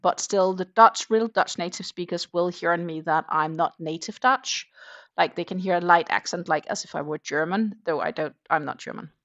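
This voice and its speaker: A woman chats casually on a crochet livestream. Her voice sits a little low, and she keeps up an easygoing patter as she reads out viewer comments. She mutters stitch counts to herself between sentences. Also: normal speed, fairly bright tone, muffled recording. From Denmark. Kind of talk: casual chat while crocheting.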